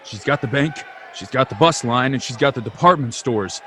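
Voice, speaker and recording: A man's voice: medium pitch, moderate pace, a bit bright, a faint crowd, a little hiss or hum, close to an everyday voice. A man playing an earnest, hot-tempered young small-town man in a radio drama, emphatic and impassioned. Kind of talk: old-time radio drama acting.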